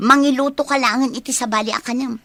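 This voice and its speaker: A woman plays a sassy young daughter, high and sharp. She is assertive and cheeky with an Ilocano accent, in a comedy radio drama. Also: steady tone, fast talker, no room sound. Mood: sassy, demanding.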